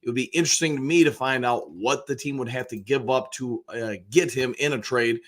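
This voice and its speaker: Male American sports show host, medium pitch, mildly hoarse, casual conversational commentary that wanders as he goes. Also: fairly quick, fairly bright tone. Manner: chatty, rambling, conversational. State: slightly hoarse. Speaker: sports show host, basketball coach and realtor.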